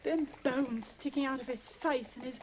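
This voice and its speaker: A woman's voice: sits high, normal speed, natural voice. An actress playing a distraught, loyal elderly landlady, wailing and pleading in 1950s radio drama style. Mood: upset, pleading. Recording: muffled, noisy 1950s radio recording.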